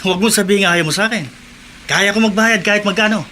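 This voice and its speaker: A man's voice: high-pitched, fast, pushed and bright, a little hiss or hum. An actor playing an eager, pushy man out for company in a Filipino radio drama, insistent and coaxing. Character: eager, pushy male customer in a car, boastful with money.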